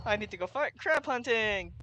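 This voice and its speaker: A man's voice: high-pitched, lively intonation, moderate pace, very noisy. A man giving informal gameplay commentary, often muttering to himself as he plays. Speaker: gamer, video host. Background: loud game music.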